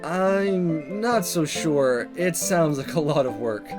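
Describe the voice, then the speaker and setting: A man's voice: fairly high pitch, lively intonation, slow. A man voicing an awkward but cocky teenage schoolboy prankster in a playful, exaggerated visual novel read-aloud, over music. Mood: casual, reluctant. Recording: music playing under the voice.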